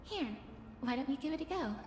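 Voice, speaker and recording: Brief: composed young woman, calm and kind, reverberant game audio with music. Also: high voice, a little fast, a little hiss or hum.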